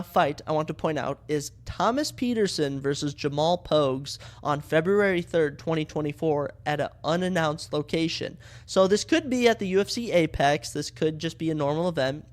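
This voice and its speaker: A young man from Minnesota hosting a casual solo sports podcast, sounding stuffy from a cold and rambling with plenty of fillers and restarts. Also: on the high side. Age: young adult. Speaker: podcast host.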